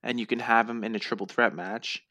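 A man in casual podcast chat, blunt and animated as he rants. His voice is high and sits close on a dry, roomless mic. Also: brisk, no echo. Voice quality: says he has a high voice. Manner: blunt, profane, animated ranting. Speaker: podcast co-host.

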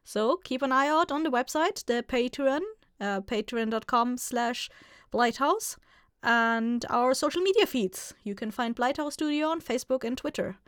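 A woman's voice: fairly high pitch, moderate pace, fairly bright tone, clean tone, no room sound, studio quality. A woman podcast host giving informal announcements to listeners after an episode. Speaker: show host, writer and producer.

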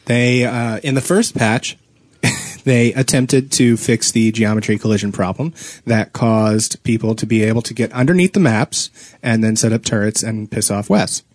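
A man's voice: on the low side, moderate pace, no echo. A man co-hosting a podcast in casual chat, with a teasing, jokey manner. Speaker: podcast co-host.

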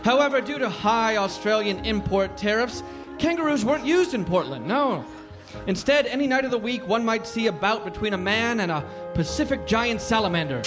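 A man narrates a mock-serious history with pompous, deadpan gravity. His voice sits very high for a man. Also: normal speed, over music. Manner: pompous, deadpan, scolding interruptions. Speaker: storyteller.

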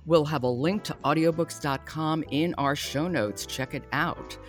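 A woman's voice: low voice, measured pace, soft background music. A woman hosting a podcast discussion about books. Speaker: podcast host.

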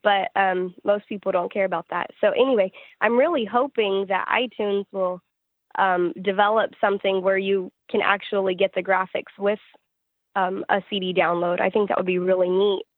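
A woman's voice: mid-range voice, steady tone, normal speed, dry recording. A woman chatting casually as an interview guest, speaking over a telephone call. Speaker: singer-songwriter being interviewed. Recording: narrow tinny phone line.

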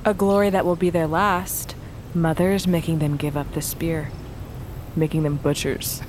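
A woman's voice: sits low, fairly even intonation, moderate pace, darkish tone, a little hiss or hum, lightly acted. Woman playing defiant exiled daughter of a queen. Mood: stubborn, resolute. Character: defiant exiled young queen's daughter, stubborn, loyal sister. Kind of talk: audio fiction drama acting.

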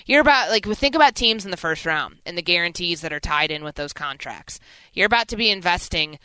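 Bright, clear-voiced woman in sports radio. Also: deep voice, no room sound, low-quality recording. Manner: emphatic, opinionated. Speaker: sports radio co-host and analyst. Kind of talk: sports talk radio commentary.

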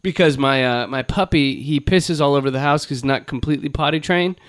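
A male podcast co-host who rambles through stories in crude, joking, casual chat. His voice sits a touch high for a man, recorded dry and close with no room sound. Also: brisk, no room sound.

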